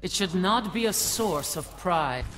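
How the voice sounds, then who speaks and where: An actor playing a righteous, disapproving warrior in a video game, with a formal, grave manner. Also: male voice, high voice, animated, unhurried. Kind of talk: video game character banter. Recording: long reverb, music behind.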